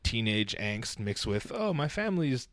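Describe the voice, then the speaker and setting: A man's voice: medium pitch, very animated, measured pace, no room sound, studio quality. A man in his thirties, a podcast guest, talking about his upbringing in a laid-back, nonchalant way and swearing freely. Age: 30s. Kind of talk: casual chat.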